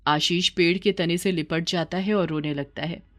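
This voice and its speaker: A woman's voice: fairly low pitch, steady tone, moderate pace. A woman narrating a scripted children's story as the storyteller.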